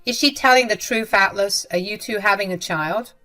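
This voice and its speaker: Woman doing a shrill, spiteful mother-in-law. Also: moderate pace, faint music behind, natural voice. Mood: shocked. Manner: shrill, loud and contemptuous. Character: spiteful scornful middle-aged mother-in-law.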